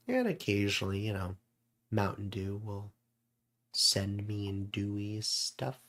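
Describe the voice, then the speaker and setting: A host of unclear sex chatting casually and in character, with a halting, unhurried delivery. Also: low-pitched, animated, fairly bright tone. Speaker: show host.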